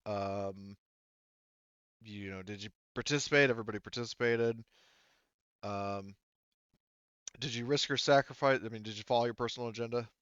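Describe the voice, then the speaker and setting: A man's voice: fairly low pitch, normal speed, long pauses, fairly bright tone, clean tone, no echo, lo-fi sound. A man acting as game master in a casual tabletop game debrief, talking loosely and swearing freely.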